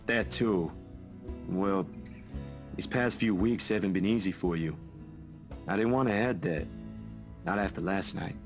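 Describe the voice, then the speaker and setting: A man's voice: low voice, unhurried, pauses often, over music. An actor playing an easygoing, caring young man in a visual novel, talking casually and teasing a friend in a folksy way. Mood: gentle, hesitant.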